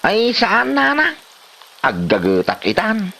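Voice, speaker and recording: A man plays a lazy, whiny grown son in an Ilocano comedy drama. His Filipino voice swoops wildly from low to high as he talks. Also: wildly swooping pitch, takes it slowly, gravelly, bright, forceful tone, exaggerated voice. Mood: sheepish.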